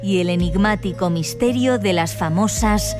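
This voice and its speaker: A woman reading a scripted report in a measured, dramatic narration style. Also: medium pitch, over loud music.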